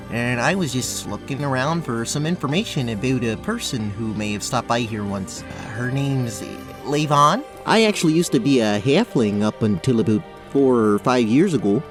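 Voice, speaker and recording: Small goat-man monk, male actor, easygoing and hesitant, animated intonation, fantasy roleplay drama with clear music underneath. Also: mid-range voice, moderate pace, natural voice. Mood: wistful. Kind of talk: tabletop roleplay audio drama.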